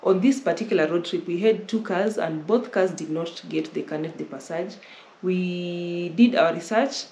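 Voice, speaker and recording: A woman's voice: fairly low pitch, normal speed, a little mellow. A young Kenyan woman hosting a casual Q&A, giving travel advice and often rephrasing as she explains. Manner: repeats and rephrases while explaining. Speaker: travel vlogger host. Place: indoor living room, on a sofa. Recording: roomy with faint noise.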